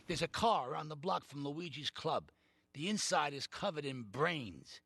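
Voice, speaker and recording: Gravelly, swaggering mob boss. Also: male voice, on the high side, expressive, normal speed, fairly bright tone, dry recording, clean studio sound, heavily acted. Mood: matter-of-fact, sly. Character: boisterous Italian-American mafia boss, proud yet menacing. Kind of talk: video game cutscene acting.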